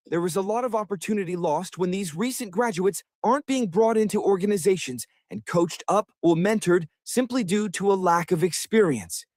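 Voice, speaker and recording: A man leaving a recorded comment, delivering a measured, prepared statement. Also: high voice, darkish tone, no echo, clean studio sound. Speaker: caller leaving a message.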